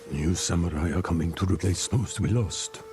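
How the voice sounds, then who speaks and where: An older samurai lord bound by honour. His voice is extremely deep, with grave, formal, measured delivery. It is stern game drama with a clear cinematic score behind. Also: over music. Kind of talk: dramatic video game cutscene acting.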